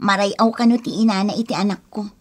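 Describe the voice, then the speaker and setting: A woman's voice: medium pitch, fairly even intonation, quick pace, lightly acted. A woman playing a discontented, stubborn young wife and mother in a Filipino radio drama, plaintive and melodramatic, with an Ilocano accent. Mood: pleading.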